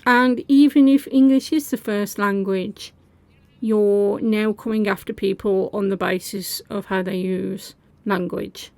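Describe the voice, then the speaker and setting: A woman's voice: medium pitch, steady tone, a little slow, a little mellow, no room sound. A woman hosting reaction commentary, talking in a sarcastic, scolding way. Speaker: commentary host.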